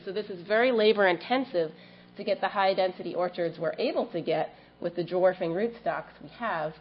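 Stuffy-nosed woman giving a lo-fi science lecture. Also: on the low side. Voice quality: frequent ums, explanatory. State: has a cold, slightly stuffy. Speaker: plant scientist giving a research talk. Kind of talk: academic seminar presentation. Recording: dull lo-fi lecture audio with faint hum.

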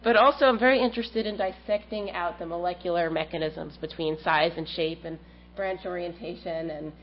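A woman in her thirties presents her plant research at a seminar, sounding a little stuffy from a cold. Her voice sits on the low side, and she pauses on frequent ums as she explains. The lecture recording is muffled and lo-fi, with a faint hum underneath. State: has a cold, slightly stuffy. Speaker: plant scientist giving a research talk.

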